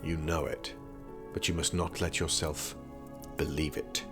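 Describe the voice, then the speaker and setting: A man's voice: very deep voice, flat delivery, a little slow. A man acting in an audio drama as an erudite, teasing young prince who studies magic, speaking in a dry, formal, pedantic way. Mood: didactic. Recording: music behind the voice.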